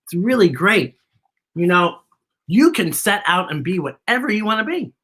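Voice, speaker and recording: An American woman hosting a children's story time, reading aloud and chatting in an expressive, encouraging way straight to young viewers. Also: fairly low pitch, moderate pace, slightly rough. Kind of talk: live read-aloud with chatty commentary.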